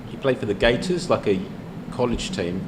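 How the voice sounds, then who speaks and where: A man's voice: mid-range voice, lively intonation, normal speed, noisy background. An Australian man in a casual discussion, rambling and hesitant, often restarting his sentences. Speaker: podcast co-host. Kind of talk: casual pub-style discussion.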